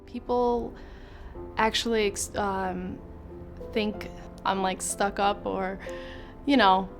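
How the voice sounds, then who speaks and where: Slow, shy-sounding woman. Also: mid-range voice, steady tone. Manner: shy, hesitant, many fillers. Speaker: student. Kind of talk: interview testimonial. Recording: music playing underneath. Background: background music.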